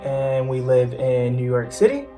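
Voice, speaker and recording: A man's voice: mid-range voice, animated, unhurried, dark tone. A man answering questions about his relationship in a wry, joking way. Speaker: interviewee. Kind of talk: casual interview. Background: guitar music.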